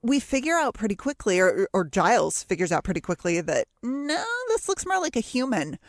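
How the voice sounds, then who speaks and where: A woman's voice: medium pitch, sing-song, normal speed, no room sound, clean studio sound. A woman co-hosting a podcast, chatting casually about television in a wry, joking way. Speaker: podcast co-host. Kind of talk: casual TV discussion chat.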